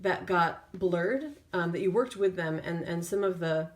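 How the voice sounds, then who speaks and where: Woman giving an unscripted tarot reading, lowish voice. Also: unhurried. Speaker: tarot reader. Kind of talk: intuitive tarot reading, spoken off the cuff.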